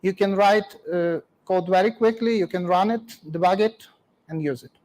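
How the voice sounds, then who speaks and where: This is a man with a Ukrainian accent and a fairly high voice, giving a technical talk. He speaks in short, practical, clipped phrases, like a guest expert answering an audience. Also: normal speed, a little mellow.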